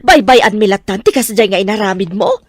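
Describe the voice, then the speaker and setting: A woman's voice: medium pitch, expressive, a little fast, no room sound, close to an everyday voice. An actress with a Filipino accent playing an indignant, protective middle-aged mother in a radio drama. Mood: stern.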